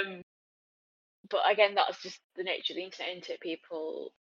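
A woman's voice: mid-range voice, moderate pace, pushed and bright. A young British woman chatting casually on a podcast, wry and self-deprecating. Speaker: podcast guest. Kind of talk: casual chat. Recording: dull lo-fi recording.

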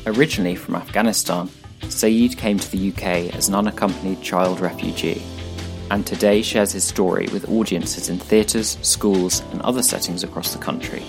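A man's voice: sits low, normal speed, over music. A man hosting a podcast, reading an introduction and then asking a guest questions. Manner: scripted, even-paced introduction. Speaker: podcast host.

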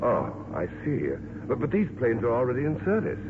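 An actor playing a dry, curious aircraft official in a 1950s radio drama, with a polite, probing manner. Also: male voice, medium pitch, wildly swooping pitch, fairly quick. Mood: wry curiosity. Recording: muffled, noisy 1950s radio recording.

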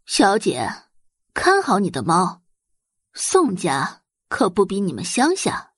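A woman's voice: mid-range voice, wildly swooping pitch, takes it slowly, husky, no room sound, clean studio sound, full character voice. A woman voicing a stern male butler in an audiobook, clipped, formal and condescending. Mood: warning. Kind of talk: audiobook character acting.

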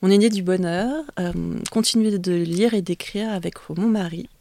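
A woman's voice: medium pitch, normal speed, warm tone, no room sound. Hesitant woman, Vietnamese native. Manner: thoughtful, hesitant, many restarts. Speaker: author guest. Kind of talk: conversational interview.

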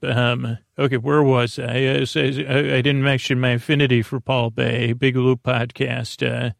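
A man with a creaky voice hosting a sleep podcast, soothing and meandering, halting as he wanders off on gently absurd, self-deprecating tangents. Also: mid-range voice, no room sound, clean studio sound. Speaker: host of a bedtime sleep podcast. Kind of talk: rambling, soothing bedtime monologue.

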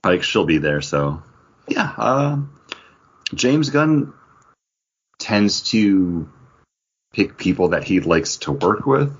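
Male podcast co-host, casual and animated, husky, on a slightly dull recording. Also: low-pitched, takes it slowly, long pauses. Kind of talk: casual chat about entertainment news.

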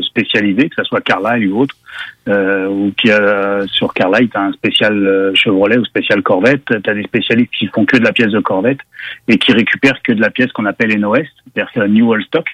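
A man's voice: on the low side, fairly bright tone, slightly rough, no echo, muffled recording. A man with a Parisian French accent, a car magazine editor explaining at length as a radio guest, with many hesitations.

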